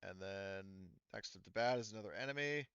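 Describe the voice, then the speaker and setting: A man's voice: on the low side, brisk, fairly bright tone, smooth, dry recording. A man running a tabletop roleplaying game as game master, narrating in a casual, colloquial way. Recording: dull, lo-fi sound.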